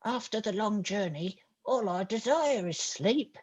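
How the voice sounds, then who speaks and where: A woman's voice: medium pitch, lively intonation, measured pace, a little mellow, breathy edge, muffled recording. Woman voicing a sleepy, menacing great bear. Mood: weary.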